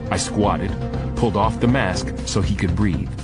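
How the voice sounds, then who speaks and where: A man's voice: fairly low pitch, unhurried, loud music behind the voice. A man reading scripted first-person thriller narration as an audiobook narrator.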